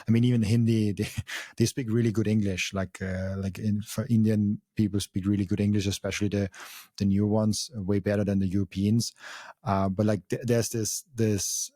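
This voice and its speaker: A man's voice: on the low side, little pitch movement, moderate pace, warm tone, dry recording, clean studio sound. A young man from Austria with a German accent hosting a podcast, thinking aloud in casual talk with many fillers and restarts. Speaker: podcast host. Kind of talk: casual conversation.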